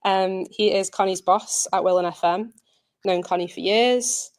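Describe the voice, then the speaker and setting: A woman's voice: medium pitch, measured pace. A woman giving an informal spoken presentation as an audio drama producer, earnest and hesitant in her delivery.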